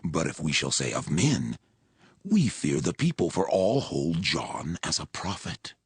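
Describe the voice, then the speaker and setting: An actor playing a wary, calculating chief priest in scripture drama, gravelly and husky, muttering his deliberations. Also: extremely low pitch, very animated, a little slow, no echo, clean studio sound, full character voice.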